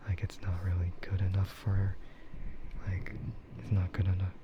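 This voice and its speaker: Male ASMR streamer, soft whispery delivery, unhurried and rambling, muffled recording with clear background noise. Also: low voice, completely flat delivery. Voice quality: breathy, soft, mostly whispered. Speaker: streamer, VTuber. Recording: dull, muffled audio with loud ambient noise.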